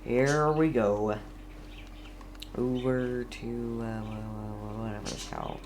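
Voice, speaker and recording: A man streaming a video game with casual, playful commentary. He talks slowly and haltingly, often muttering, while game ambience is clearly audible behind him. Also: fairly low pitch, noisy background.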